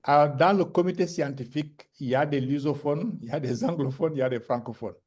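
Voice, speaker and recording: A man moderating an online meeting, explaining calmly and growing animated when he tells an anecdote. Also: a little high, brisk.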